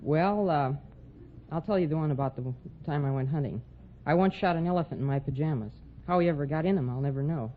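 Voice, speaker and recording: A man's voice: a little high, fast. A young man, a student contestant on a quiz show, chatting with the host. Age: young adult. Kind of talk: unscripted-sounding chat with a host. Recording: noisy muffled 1940s radio recording, audience. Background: studio audience.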